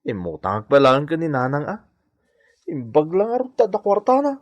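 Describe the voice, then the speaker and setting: An actor playing a whining, hapless gambling husband in a comedy radio drama, groaning and pleading. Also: male voice, fairly high pitch, huge pitch swings, normal speed, dry recording, highs cut off. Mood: apologetic, meek.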